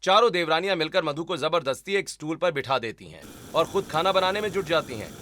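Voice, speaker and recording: Bright-voiced male story narrator. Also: fairly high pitch, lively intonation, quick pace, a little hiss or hum. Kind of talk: scripted cartoon story narration.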